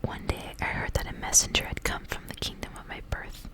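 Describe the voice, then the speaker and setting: Whispering woman reading a story, ASMR style. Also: a little fast. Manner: hushed, whispering throughout. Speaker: ASMR reader.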